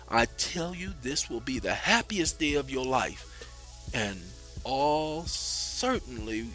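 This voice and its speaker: A firm, reassuring father talks insistently, his pitch lifting and falling with emphasis and a bright edge as a man voices him. Light music sits underneath. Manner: earnest, insistent.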